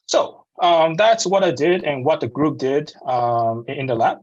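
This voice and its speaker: A man in his thirties from Taiwan with a Taiwanese accent, giving a slide talk to students over a video call, halting with many fillers and restarts. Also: fairly high pitch, darkish tone, muffled recording. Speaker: guest speaker.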